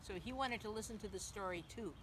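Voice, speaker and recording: A woman reading a picture book aloud to young children, gently, in simple phrases, pausing to ask the listener questions. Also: medium pitch. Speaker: children's story reader, teacher. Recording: clearly noisy background.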